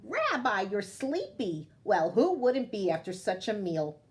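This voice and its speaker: A woman's voice: medium pitch, very animated, unhurried. A woman voicing a kindly, chatty old grandmother who fusses over and coaxes her guest in a storybook tale. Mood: kindly, fussing. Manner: fussing, coaxing, exclaiming.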